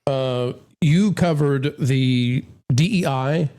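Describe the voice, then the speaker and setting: A man's voice: medium pitch, slow, mellow, clean tone. A man hosting a casual panel discussion about the entertainment business.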